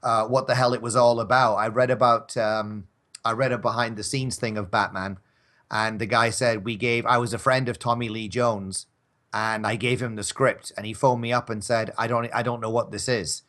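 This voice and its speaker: A man's voice: mid-range voice, fairly even intonation, moderate pace, fairly bright tone. A man co-hosting a casual talk show, bantering about films in a rambling, wry, conversational way. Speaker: co-host of an audio drama talk show.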